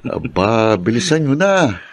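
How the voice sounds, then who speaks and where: An actor with a Filipino accent playing a friendly, brotherly young co-worker in a radio drama, casual in manner. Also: male voice, mid-range voice, sing-song, measured pace, dry recording. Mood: teasing, brotherly.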